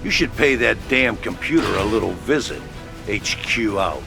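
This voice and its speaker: An actor playing a brash, salty military officer giving casual, tough mission briefings in a video game. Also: male voice, on the low side, lively intonation, normal speed, creaky, over loud music. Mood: brisk, wry. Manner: casual, tough, clipped sign-offs.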